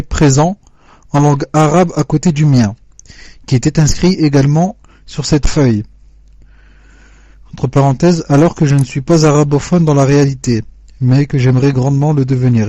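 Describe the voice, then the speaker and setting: Mellow man reading questions aloud. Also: on the high side, no echo. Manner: measured, formal reading. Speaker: question reader. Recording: dull dry lo-fi recording.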